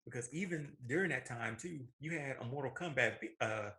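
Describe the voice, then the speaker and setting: Bright-voiced American man, enthusiastic and emphatic. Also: medium pitch, lively intonation, brisk. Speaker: co-host of a movie and video game show. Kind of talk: casual opinionated movie discussion.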